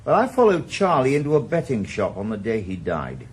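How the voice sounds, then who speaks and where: A man's voice: on the low side, wildly swooping pitch, brisk, a little hiss or hum. An actor playing a weary retired policeman turned private eye in a radio drama, dry and laconic. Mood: probing. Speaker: private investigator. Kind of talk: 1980s radio drama acting.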